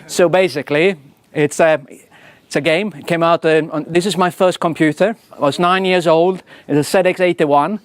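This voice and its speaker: A man's voice: a little high, steady tone, normal speed. A Swedish man in his forties with a Swedish accent, giving a casual, self-deprecating conference talk full of fillers and tag questions. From Sweden. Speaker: engineering leader giving a talk.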